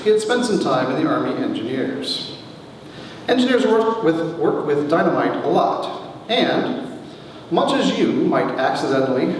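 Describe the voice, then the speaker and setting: A middle-aged man giving a wry, theatrical reading of his own comic memoir as its author, with deliberate pauses, recorded in a large echoey hall from a distance. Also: fairly high pitch. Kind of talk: dramatic comic memoir reading to a live audience. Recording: echoey hall, distant mic, faint noise.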